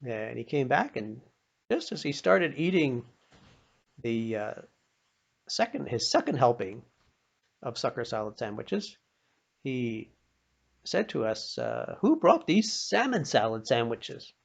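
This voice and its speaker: An older man telling personal anecdotes in an informal, folksy, halting way. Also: mid-range voice, very animated, vocal fry. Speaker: storyteller. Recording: dull, lo-fi sound.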